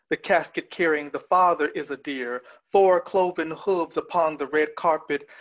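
A man in his thirties reading poetry with deliberate, measured phrasing over a video call. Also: on the high side, a bit bright. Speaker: poet. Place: small room at home with bookshelf. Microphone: video call, wearing headphones. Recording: dull, lo-fi video call audio.

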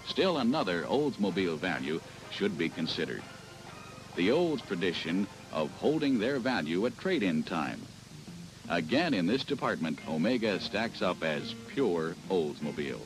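A man's voice: low-pitched, sing-song, moderate pace, low-quality recording. An American man narrating a scripted 1970s promotional sales film in a polished announcer's delivery. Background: music.

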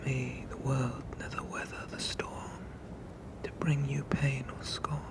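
A man voicing a protective, possessive sea monster father in a hushed, soothing ASMR roleplay with formal phrasing. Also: fairly high pitch.

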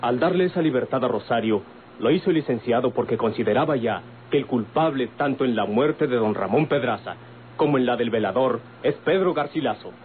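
A man performs an earnest Mexican character from an old radio serial. He is polite and formal, explaining insistently at a middling pitch. The recording is thin and band-limited. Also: brisk, phone-quality sound, slight background noise, natural voice. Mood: earnest, serious. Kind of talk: vintage Mexican radio drama acting.

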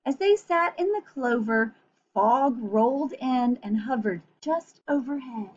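A woman reading a picture book aloud for children in an expressive, gentle way, with chatty asides. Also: fairly high pitch, measured pace, a little hiss or hum. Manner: expressive, gentle, addressing young listeners. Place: small room at home. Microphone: computer webcam at arm's length. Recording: dull, muffled webcam recording.

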